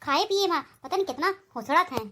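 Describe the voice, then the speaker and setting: A woman voicing sharp-tongued, combative village women in a comic cartoon, with an Awadhi Hindi accent. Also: very high voice, animated, fairly quick, exaggerated voice. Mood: defiant, irritated. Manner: scolding, combative. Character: sharp-tongued village wife and her scolding mother-in-law, cartoon.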